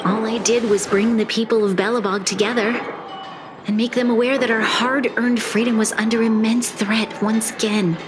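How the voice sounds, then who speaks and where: A woman playing an earnest, resolute young female leader in a video game cutscene, speaking in a measured, formal way. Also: on the high side, steady tone, background noise, close to an everyday voice. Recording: loud game music behind the voice. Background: music.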